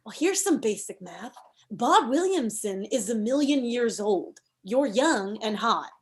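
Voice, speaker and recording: Actress as pushy, high-voiced campaign manager. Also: female voice, expressive, a little slow. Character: brisk, cynical female political campaign manager. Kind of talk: comedy script table read.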